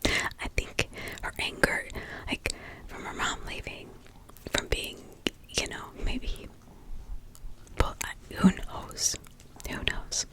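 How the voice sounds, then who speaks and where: A woman who is a parent, whispering close to the mic as she retells a crime story, soft, halting and emotional. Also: poor audio. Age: adult parent. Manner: halting, with many restarts and pauses. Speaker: true crime ASMR storyteller.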